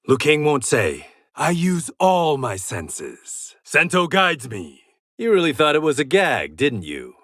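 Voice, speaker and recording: A man's voice: mid-range voice, a bit bright, no echo, clean studio sound, heavily acted. An actor playing a proud, stern blind swordsman in a fighting game, with clipped, taunting and wry delivery. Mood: determined, dry. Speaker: video game fighter character. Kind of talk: dramatic fighting game voice lines.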